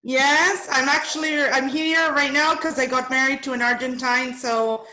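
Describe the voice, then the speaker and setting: A woman's voice: high voice, steady tone, normal speed, pushed and bright. A woman from Canada with a Canadian accent, chatting casually as an interview guest. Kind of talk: casual conversation on a call. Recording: echoey room on a call.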